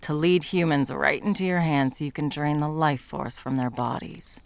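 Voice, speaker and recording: A woman's voice: low voice, steady tone, moderate pace, gravelly. A woman playing a bitter, sarcastic monster hunter and betrayed lover in a dramatic ASMR roleplay, mocking and foul-mouthed. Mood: angry, exasperated.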